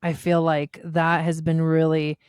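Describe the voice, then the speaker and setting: Argentine-born woman, smooth low voice. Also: monotone, measured pace, darkish tone, no room sound, clean studio sound. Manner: enthusiastic, chatty, with frequent fillers and restarts. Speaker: guest. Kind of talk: casual conversational interview.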